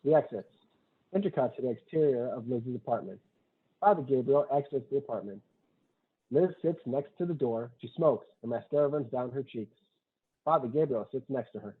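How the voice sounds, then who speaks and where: A man's voice: medium pitch, lively intonation, moderate pace, husky. A man reading screenplay stage directions aloud at a table read, matter-of-fact, in short clipped sentences. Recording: thin, phone-like online call audio.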